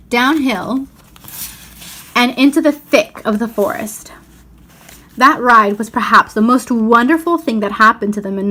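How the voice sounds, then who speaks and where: A woman narrates a children's storybook in a fairly high voice. She reads expressively, shaping each phrase with deliberate care. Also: fairly bright tone. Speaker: storyteller reading aloud.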